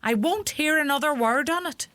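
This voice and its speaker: An actress playing a middle-aged, bossy Donegal mother in a radio play, brisk and commanding. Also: high voice, sharp tone, no echo. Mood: stern. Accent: Donegal Irish. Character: stern, bossy Irish farmhouse mother of grown sons.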